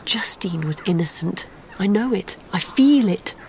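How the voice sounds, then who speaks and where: An actress playing a gentle, grieving young gentlewoman in an audio drama, with earnest, formal, literary speech. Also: mid-range voice, lively intonation, a little slow, slight background noise, poor audio. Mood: anguished, bitter. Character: sorrowful, gentle young gentlewoman, steadfast in grief.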